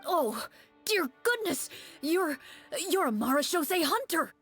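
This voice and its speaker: A woman's voice: very high voice, big pitch swings, measured pace, a bit bright, soft background music, full character voice. A woman playing an anxious, pleading townsman in a tabletop game, with earnest, theatrical, old-fashioned phrasing. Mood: amazed, relieved.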